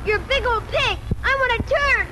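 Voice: very high voice, moderate pace, rough recording. A performer playing a bratty, demanding little farm boy in a 1940s radio drama, whiny and insistent. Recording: old 1940s radio broadcast.

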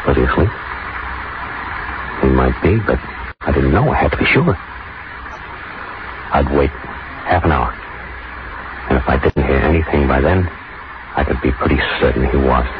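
Very deep, anxious noir plotter. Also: male voice, expressive. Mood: nervous. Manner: tense, halting, brooding. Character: anxious, lovestruck man plotting murder, easily swayed. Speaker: radio actor and narrator. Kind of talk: 1940s radio thriller acting, first-person narration. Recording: noisy, muffled 1940s radio recording.

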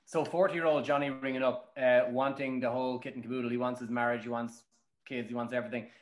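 A man's voice: medium pitch, little pitch movement, quick pace. An Irish man answering interview questions as a matchmaker, in a colloquial, emphatic storytelling manner. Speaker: matchmaker guest. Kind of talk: animated interview answers with anecdotes. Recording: roomy, echoing sound.

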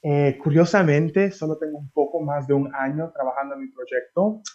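A Dominican-born singer being interviewed, answering in a chatty way with many fillers and restarts. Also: male voice, fairly high pitch, moderate pace, a little mellow, highs cut off. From Dominican Republic. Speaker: singer guest.

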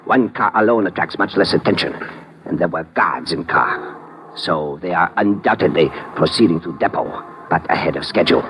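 An actor playing a fanatical, polite Japanese villain in a 1950s radio drama, with a Japanese accent and formal, clipped grammar that drops articles. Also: male voice, deep voice, moderate pace, lightly acted. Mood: calm menace. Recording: noisy old 1950s radio recording.

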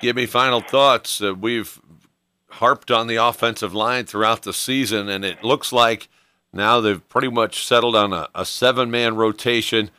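A man's voice: medium pitch, fairly even intonation, normal speed, bright, forceful tone, clear, resonant tone, dry recording. A man hosting a sports radio show, talking conversationally and putting questions to his guests. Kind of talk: conversational sports interview and commentary.

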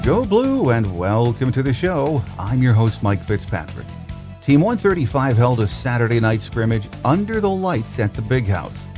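A man's voice: mid-range voice, wildly swooping pitch, normal speed, over music. A man hosting a sports podcast, presenting scripted news and introducing interviews. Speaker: podcast host.

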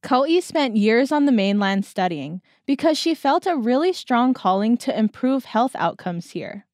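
A woman narrating a scripted documentary story as its reporter, in a measured storytelling manner. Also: fairly high pitch, big pitch swings, darkish tone, dry recording, studio quality.

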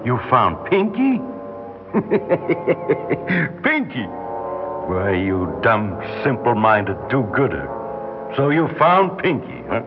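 An American actor playing a bitter, mocking cop killer on death row in 1960s radio drama, with a tough, slangy, sneering delivery. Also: male voice, mid-range voice, wildly swooping pitch, extremely slow, pauses often, exaggerated voice. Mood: mocking, unhinged. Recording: dull 1960s radio recording, music behind.

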